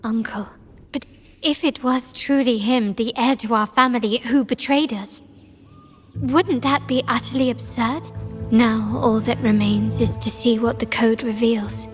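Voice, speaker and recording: A woman playing a composed, shrewd young heiress in a game, speaking formally and deliberately. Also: a little high, fairly even intonation, lightly acted. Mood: grave, troubled. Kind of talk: dramatic game dialogue. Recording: reverberant hall, music underneath. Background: music.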